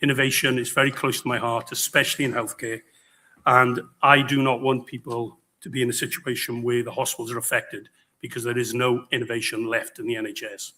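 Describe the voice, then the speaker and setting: Hoarse, flat-voiced man. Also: mid-range voice. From Pembrokeshire, Wales. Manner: halting, with many fillers and restarts. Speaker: election candidate. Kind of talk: hustings speech. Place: public hall event.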